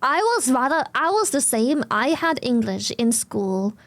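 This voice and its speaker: A woman's voice: fairly high pitch, lively intonation, unhurried, fairly bright tone, no room sound. A woman from Germany with a German accent, chatting casually on a live stream, laughing often and swearing bluntly.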